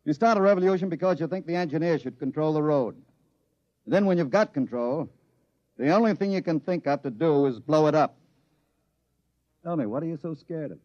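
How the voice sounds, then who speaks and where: An actor in an old radio drama playing a shrewd chief engineer, speaking in a brisk, clipped, assured and goading way. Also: male voice, on the high side, lively intonation, a little mellow, no echo, natural voice. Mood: taunting, scornful. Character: shrewd, commanding chief engineer, cool and taunting. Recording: muffled vintage radio recording.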